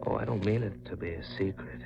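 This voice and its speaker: An actor playing a grief-stricken, obsessed widower in a 1950s radio drama, intense and halting in his speech. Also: sits low, vocal fry, over music. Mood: haunted, obsessed. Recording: muffled, noisy 1950s radio recording.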